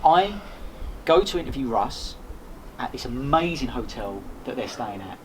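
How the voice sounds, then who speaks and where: A man telling rambling anecdotes in a casual podcast chat, animated and full of restarts. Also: mid-range voice, unhurried. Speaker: podcast host and guest. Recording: noisy background.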